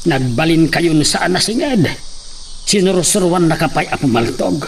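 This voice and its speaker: Guy doing a stubborn old farmhand dad, bit high, some faint noise. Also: expressive, moderate pace. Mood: stern. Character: stubborn aging farmhand father.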